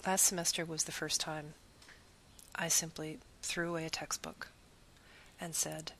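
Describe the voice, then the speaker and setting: A woman's voice: sits low, little pitch movement, unhurried, fairly bright tone, a little hiss or hum. A woman who teaches Spanish, giving an earnest, reflective talk about her teaching and piling up long lists of phrases.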